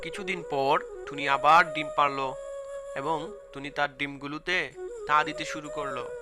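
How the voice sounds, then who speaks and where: A man's voice: fairly high pitch, big pitch swings, measured pace. A man giving scripted narration for a cartoon bird story. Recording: music behind the voice.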